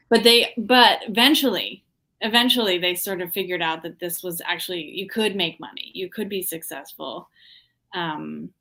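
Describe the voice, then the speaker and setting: A woman from the Washington, D.C. area talks as an artist guest in a casual interview. Her voice sits a little low, and she speaks reflectively, pausing often with ums while she searches for words. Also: creaky, pushed and bright. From Washington, D.C. area, USA. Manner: reflective, hesitant, many ums.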